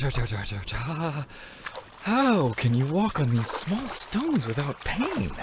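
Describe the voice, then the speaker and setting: A man's voice: sits high, background noise, full character voice. An actor playing an awkward, literal-minded otherworldly young man, stiff and over-formal, in a sci-fi romance drama.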